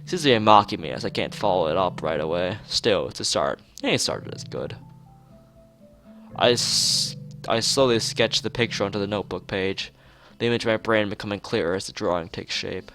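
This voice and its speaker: A man's voice: on the low side, animated, moderate pace, fairly bright tone, faint music behind. A man reading a visual novel aloud in an informal let's play, stumbling over words and restarting phrases, with off-the-cuff asides.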